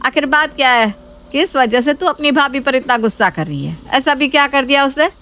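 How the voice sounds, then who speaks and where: A woman's voice: high voice, lively intonation, a little fast, sharp tone. A woman playing a stern, bossy middle-aged mother-in-law in a spoken story. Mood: puzzled. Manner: scolding, bossy.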